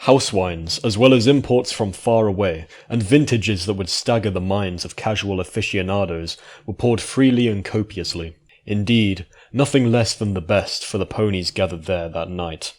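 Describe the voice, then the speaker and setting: Low-pitched male story narrator. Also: normal speed. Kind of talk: scripted fan fiction narration.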